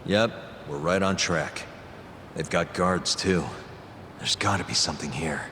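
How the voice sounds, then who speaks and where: A man's voice: low voice, measured pace, sharp tone, a little hiss or hum, full character voice. A man playing a level-headed, resolute investigator in a video game cutscene, casual and matter-of-fact. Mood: calm, calculating. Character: level-headed, resolute male investigator, adult, quick-thinking. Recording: long reverb, music behind.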